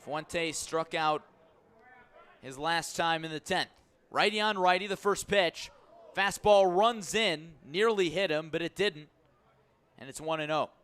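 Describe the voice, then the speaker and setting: Higher-pitched male baseball play-by-play announcer. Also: clean tone. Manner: measured narration rising to excited shouts on key plays. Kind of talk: live sports commentary.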